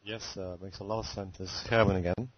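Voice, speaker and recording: A man with a very deep, flat voice slowly pieces together a question. He stumbles over his words, rephrasing as he goes. The dull phone-line sound gives it a muffled edge. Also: slight background noise. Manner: hesitant, searching for words, many fillers. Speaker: caller. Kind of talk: question on a conference call. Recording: muffled phone-call audio.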